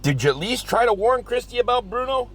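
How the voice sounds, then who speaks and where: A man's voice: very high-pitched, animated, brisk, a bit bright, exaggerated voice. A man playing an earnest, put-upon pizzeria worker in a comedy audio drama, skeptical and fretful.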